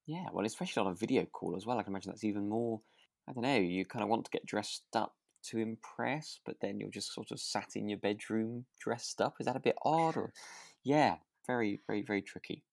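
A man's voice: lowish voice, fairly quick, vocal fry, a little mellow, dry recording, studio quality. A man with a British accent chatting casually as a podcast co-host, agreeable and a little rambling. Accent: British English. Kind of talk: casual chat about a radio drama.

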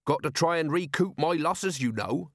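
A male reader plays a blustering merchant captain in a comic fantasy audiobook. The voice sits high, sounding shifty and self-important. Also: animated, unhurried, no echo, studio quality. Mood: evasive. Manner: loud, indignant, prone to stammering when challenged.

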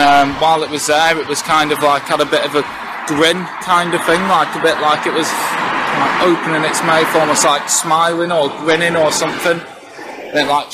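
A young man from England, a witness recounting his experience in a halting, hesitant way, outdoors on a residential street. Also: a little high. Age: young adult. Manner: hesitant, halting, many fillers. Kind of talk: casual first-hand recounting. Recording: noisy outdoor ambience.

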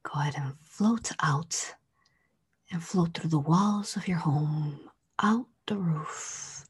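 A woman leads a guided meditation in a very breathy voice that often sinks into a whisper. Her pace is very slow, with soothing, drawn-out phrases. The voice sits on the low side and has a hypnotic, repetitive flow.

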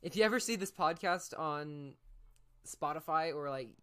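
Young male co-host, rambling casual chat with loud outbursts, shared desk mic. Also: on the high side, normal speed, clean tone. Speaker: podcast co-host. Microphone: shared desk microphone.

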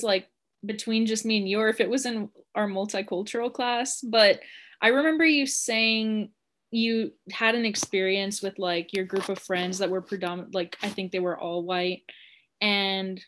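A woman's voice: mid-range voice, a bit bright. A young woman co-hosting a casual podcast, talking frankly and filling her speech with "like.". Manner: chatty, heavy use of "like", frank. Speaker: podcast co-host.

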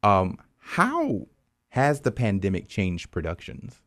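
A man's voice: lowish voice, huge pitch swings, slow, fairly bright tone. A man hosting a podcast in a casual, conversational interview style. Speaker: podcast host.

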